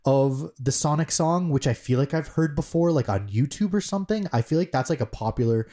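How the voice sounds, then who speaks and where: A man's voice: a little high, expressive, fairly quick, dark tone. A man co-hosting a podcast, chatting casually, rambling with lots of "like" and casual swearing. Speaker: podcast co-host. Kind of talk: casual chat.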